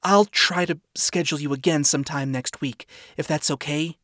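A man reading the lines of a kind, earnest young woman in a soft, gentle manner. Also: on the high side, fairly even intonation, normal speed, dry recording, clean studio sound.

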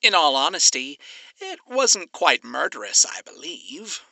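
Someone playing a suave male demon in an audiobook, with a very bright, edged voice that swoops in pitch. He drawls slowly and teasingly, sinister and predatory, in a dry, close recording. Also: sing-song, vocal fry, dry recording, heavily acted. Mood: soft menace. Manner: theatrical, drawling, menacing. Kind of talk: acted audiobook dialogue.

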